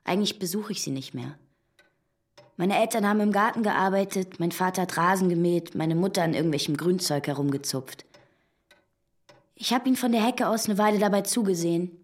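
A woman playing an intense, idealistic young activist, speaking with earnest, measured conviction in a naturalistic radio drama. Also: fairly low pitch, natural voice. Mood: earnest, resolute. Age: young adult.

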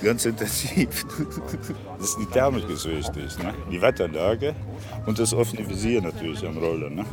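A man's voice: fairly low pitch, animated, moderate pace. A man casually recounting things in a chatty way, often ending phrases with a questioning tag. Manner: chatty, often tags sentences with a checking "ne?". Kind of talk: casual recollection in conversation. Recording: music behind the talk.

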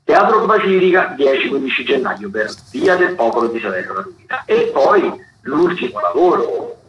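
A man hosting a radio show, talking through theatre listings in a halting, unscripted way. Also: mid-range voice, sing-song. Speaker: radio host. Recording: dull, lo-fi radio sound with clear noise.

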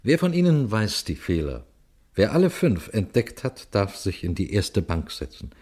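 Male detective, low register, composed and dryly ironic, radio crime drama. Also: very animated, moderate pace, darkish tone, slightly rough. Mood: addressing listeners. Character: male police detective, calm, shrewd, quietly triumphant. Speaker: detective narrating his own case. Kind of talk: German radio crime drama acting and narration.

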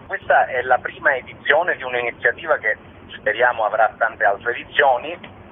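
A man's voice: medium pitch, moderate pace. Noisy phone line, man explaining in interview. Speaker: festival artistic director, interview guest.

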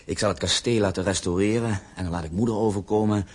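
An actor playing a cheerful, carefree young navy veteran and heir in a Dutch radio play, speaking with breezy confidence. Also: male voice, on the low side, steady tone, quick pace, breathy edge. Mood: enthusiastic, confident.

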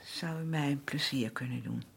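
Worn-out middle-aged housewife, husky and creaky, suspicious, always complaining, radio play. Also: female voice, rumbling low, moderate pace, full character voice. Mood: conspiratorial. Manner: colloquial, complaining. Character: weary, aggrieved working-class wife, suspicious and self-pitying. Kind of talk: naturalistic radio drama acting.

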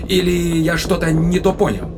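A man's voice: on the high side, fairly even intonation, normal speed, gravelly. A man acting a skeptical, defiant spaceship crewman in a science-fiction audio thriller, speaking in a probing, sardonic way. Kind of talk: dramatized sci-fi thriller audio play. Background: dramatic music.

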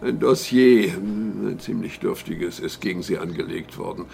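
An actor playing a wry, self-satisfied informant in a radio crime drama, confiding with drawled hesitations and irony. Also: male voice, deep voice, unhurried, creaky, a little mellow, slight background noise, exaggerated voice. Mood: conspiratorial warning. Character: wry, self-satisfied male insider, shrewd secretive informant.

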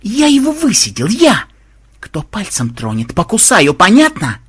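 A man's voice: on the high side, huge pitch swings, measured pace, fairly bright tone, husky, full character voice. A man voicing an irritable, touchy male programmer who barks and snaps defiantly. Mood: furious yelling. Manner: barking, snappish outbursts.

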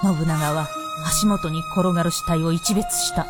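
A woman's voice: sits low, steady tone, measured pace. A woman reading scripted narration for a radio drama, with music behind her.

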